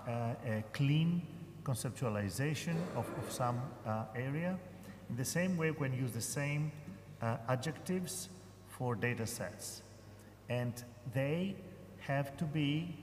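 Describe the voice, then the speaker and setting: A man speaking as a professor on a conference panel, pausing often with fillers and restarts. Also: medium pitch. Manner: hesitant, many fillers and restarts. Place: large conference hall. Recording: echoey hall, faint room noise.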